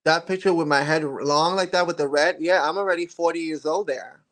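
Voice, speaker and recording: A man's voice: a little high, brisk, a bit bright. A man in his forties, a model interviewed as a guest, speaking earnestly and reflectively about his journey. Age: 40s. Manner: earnest and reflective, with occasional laughs and restarts. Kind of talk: casual interview chat.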